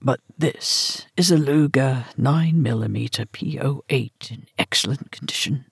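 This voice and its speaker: Woman reader doing an old drunk British woman: gravelly, slurred, slow, scornful. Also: very deep voice, dry recording, studio quality, exaggerated voice. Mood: menacing. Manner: slow, contemptuous drawl.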